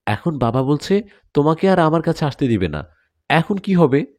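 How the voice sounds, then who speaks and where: Earnest young daughter in an audio tale, voiced by a male narrator: pleading, animated, soft mellow tone. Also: fairly high pitch, moderate pace, no room sound, pitched above the performer's own voice. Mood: anxious pleading. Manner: pleading, protesting. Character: earnest young village daughter in love with a jinn.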